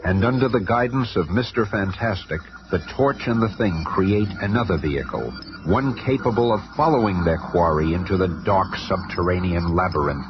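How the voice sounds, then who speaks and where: A man's voice: low voice, normal speed, lightly acted, low-quality recording. A man narrating a 1970s superhero radio serial as a dramatic comic-book storyteller. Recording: loud music bed, old radio show.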